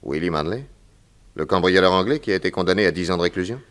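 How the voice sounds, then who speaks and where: An actor playing a brisk, exacting detective with a clipped, questioning manner in a 1960s French radio serial. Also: male voice, sits low, a bit bright. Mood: probing, critical. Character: brisk, exacting male detective, critical and authoritative.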